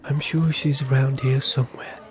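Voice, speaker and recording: An actor playing a polite village vicar in an audio drama. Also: male voice, mid-range voice, level tone, normal speed, crowd noise, close to an everyday voice. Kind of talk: scripted audio drama dialogue.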